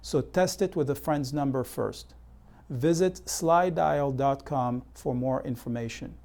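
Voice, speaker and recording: A man delivering scripted instructional narration as an educational presenter, in a measured, methodical, explanatory way. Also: mid-range voice, gravelly, a little mellow. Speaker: presenter of real estate consumer education.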